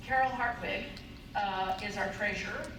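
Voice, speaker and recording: A woman's voice: medium pitch, moderate pace. A woman giving an informal spoken report as a group representative at a convention. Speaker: state homeowners' group representative. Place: large echoey convention meeting room. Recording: very echoey, noisy room, distant mic.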